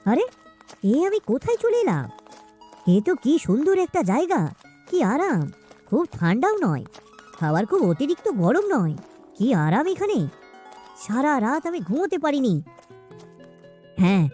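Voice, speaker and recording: Woman voicing a high-pitched, whining, lazy village man. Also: very animated, moderate pace, pauses often, dark tone, faint music behind. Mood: relieved. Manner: whining, grumbling. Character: lazy, grumbling poor village husband Bimal. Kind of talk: cartoon voice acting.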